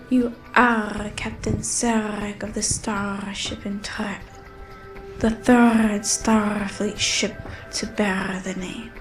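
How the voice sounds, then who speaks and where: A woman playing a knowing, mysterious alien bar server in an audio drama, breathy and unhurried. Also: mid-range voice. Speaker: voice actor. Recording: roomy echo, faint music.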